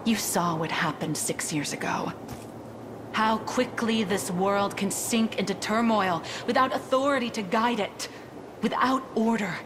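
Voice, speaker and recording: A woman's voice: medium pitch, unhurried, noisy background, close to an everyday voice. An actress playing a stern, proud lady commander, with a formal, commanding, sardonic manner in a fantasy game. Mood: weary, solemn. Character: stern, proud lady commander keeping order amid plague. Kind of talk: theatrical fantasy game acting. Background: music.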